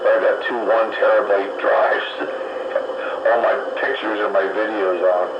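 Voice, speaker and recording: A man's voice: on the low side, little pitch movement, a little slow. A man in casual chat, hesitant, with many fillers and restarts. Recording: echoey, dull, noisy line.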